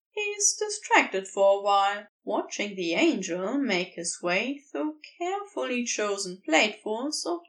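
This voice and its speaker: Slow, very lively higher-pitched woman narrator. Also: poor audio. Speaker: podfic reader. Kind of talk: scripted story narration.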